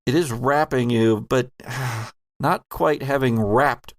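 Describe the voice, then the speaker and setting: A man hosting and game mastering a horror audio drama, narrating with theatrical, deliberate phrasing. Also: medium pitch, dry recording, studio quality.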